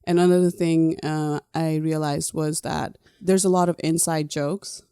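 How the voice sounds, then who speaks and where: Low, smooth-voiced woman from Nepal. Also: steady tone, a little slow, dark tone, no room sound, clean studio sound. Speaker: podcast co-host. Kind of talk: casual conversational podcast talk.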